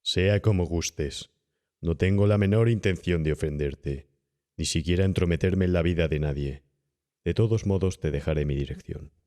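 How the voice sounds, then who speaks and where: A man's voice: low voice, normal speed, mellow, natural voice. A man playing an eminent older doctor in a horror audiobook, pompous and falsely courteous, with a Castilian Spanish accent. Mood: cold courtesy. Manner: pompous, condescending, evasive politeness. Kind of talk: dramatised audiobook horror tale.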